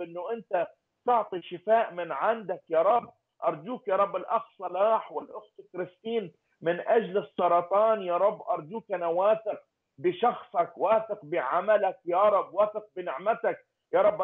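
A man leading a fervent intercessory prayer, pleading and naming people one by one. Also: high voice. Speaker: prayer leader. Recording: tinny phone-like line.

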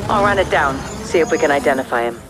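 A woman's voice: low-pitched, animated, fast. A woman acting a shrewd intelligence officer in a military game cutscene, giving clipped, matter-of-fact briefings. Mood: businesslike. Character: shrewd, composed female intelligence officer running covert military operations. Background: loud dramatic music.

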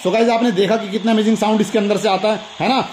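A man's voice: high-pitched, fairly even intonation, fast talker, darkish tone, a little hiss or hum. An Indian man hosting a toy review, enthusiastically showing items and repeatedly addressing his viewers. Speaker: toy review channel host. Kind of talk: excited toy unboxing commentary.

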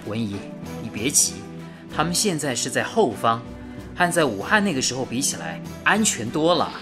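An actor playing a sensible, fussing young married man in a radio drama, earnest and gently chiding. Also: medium pitch, very animated, normal speed, over music, lightly acted. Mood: reassuring. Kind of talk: Mandarin radio drama acting.

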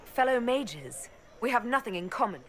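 A woman's voice: on the high side, sing-song, normal speed. A woman playing a blunt young elf mage in a fantasy game, curt, dry and challenging. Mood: curt, cool.

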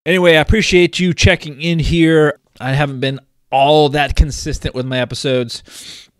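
A man hosting his own podcast, hoarse from a cold, talking casually with frequent restarts and throat clearing. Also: a little high, fairly even intonation, moderate pace, no room sound, studio quality. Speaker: podcast host. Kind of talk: casual solo podcast talk.

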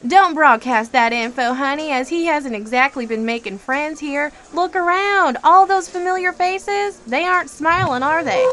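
An actress playing a flippant, zealous asylum inmate in an audio drama, chatty, sassy and theatrical, with slangy sarcasm. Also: female voice, sits high, moderate pace, few pauses, fairly bright tone. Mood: sardonic.

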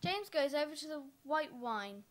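High-voiced young woman narrating. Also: lively intonation, normal speed. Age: young adult. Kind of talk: scripted radio play narration.

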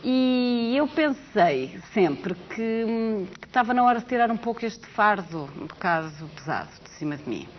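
A middle-aged woman from Portugal with a Portuguese accent tinged with Brazilian sounds, chatting as a TV guest about her project and telling stories with animated asides. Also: a little high. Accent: Portuguese with Brazilian touches. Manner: animated storyteller, gesturing, quick asides. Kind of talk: casual chat on a TV talk show. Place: TV studio set. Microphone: lapel mic. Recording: dull lo-fi TV broadcast with faint hiss.

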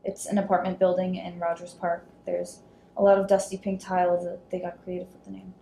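Reserved, stubborn mother, read by a woman. Naturalistic drama, terse delivery, mellow voice, roomy sound. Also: on the low side, completely flat delivery, fairly quick, creaky. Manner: understated, terse. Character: estranged mother, reserved, guarded, quietly stubborn. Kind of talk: naturalistic dramatic scene reading. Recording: echoey room, faint noise.

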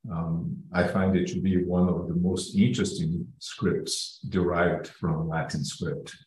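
A man's voice: low voice, level tone, measured pace, darkish tone, roomy sound, slight background noise, low-quality recording. A man hosting an online conference, introducing the next speaker in a hesitant way with many fillers. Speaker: conference host.

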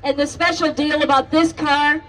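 A woman presenting a car at an outdoor estate sale auction, speaking haltingly with many fillers. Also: high-pitched, steady tone, a bit bright. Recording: distant voice with crowd noise.

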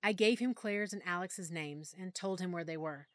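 Woman narrator, lower voice, dry close sound, quiet emotional storytelling. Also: moderate pace, no echo. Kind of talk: first-person audiobook narration.

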